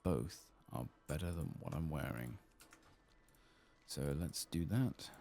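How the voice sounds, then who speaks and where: A man with a very low, creaky voice gives soft ASMR gaming commentary. He rambles casually to himself, with pitch that moves around freely, over faint noise and clicking. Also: expressive, takes it slowly. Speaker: streamer playing a video game.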